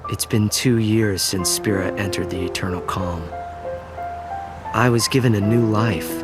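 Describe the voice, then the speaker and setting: A man playing a reflective young hero in a game audio drama, narrating in a wistful, measured way. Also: on the low side, lightly acted. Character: reflective young male hero recalling his return. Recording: loud music over the voice.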